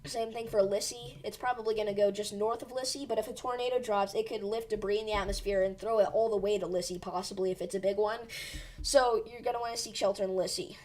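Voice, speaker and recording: A woman's voice: medium pitch, steady tone, quick pace, a little mellow. A woman hosting a weather livestream who is losing her voice, giving urgent but reassuring storm commentary with many fillers. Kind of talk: live storm-tracking commentary.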